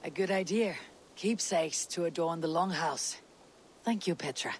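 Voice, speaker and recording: A woman's voice: lowish voice, a little hiss or hum, lightly acted. A woman playing a proud, stoic Viking warrior leader in a video game, speaking tersely and plainly. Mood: calm, reserved. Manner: terse, measured, matter-of-fact. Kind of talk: video game character acting. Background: game music.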